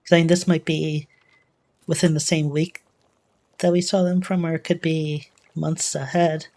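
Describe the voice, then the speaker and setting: Female reaction host, notably low register, flat delivery, casual and tentative, reasoning things out as she goes. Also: normal speed. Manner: musing, tentative, thinking aloud. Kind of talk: casual off-the-cuff reaction commentary.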